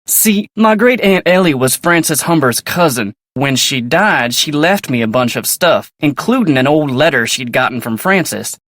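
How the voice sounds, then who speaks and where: An actor playing a polite, earnest young ranch hand in a video game, with a folksy American Western drawl and a plainspoken way of talking. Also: male voice, fairly high pitch, expressive, normal speed, few pauses, fairly bright tone, no echo, studio quality. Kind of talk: video game character dialogue.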